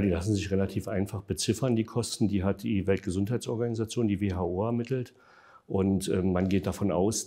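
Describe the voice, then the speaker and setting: A man answering interview questions in a measured, factual way, with frequent hesitation fillers. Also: on the low side, mellow, slightly rough. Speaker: interview guest, developer of an online tool.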